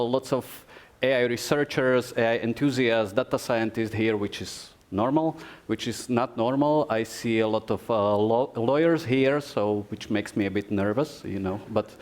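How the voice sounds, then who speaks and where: A man welcoming the audience and introducing a speaker at a meetup, with many hesitations. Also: on the low side. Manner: halting, many fillers. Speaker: meetup host. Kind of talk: event introduction. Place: event venue.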